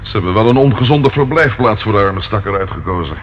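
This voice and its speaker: A man's voice: lowish voice, lively intonation, quick pace, lo-fi sound, lightly acted. Guy playing jumpy complaining detective sidekick, old radio play, voice swoops about, really noisy tape. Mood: curious, grumbling. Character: excitable, grumbling male detective's companion, easily startled. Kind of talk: Dutch radio play acting.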